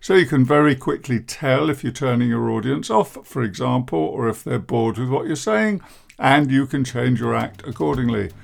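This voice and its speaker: Older male podcast host, deep gravelly croak, measured and downbeat, self-deprecating. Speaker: podcast host, therapist and coach. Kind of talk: reflective podcast monologue.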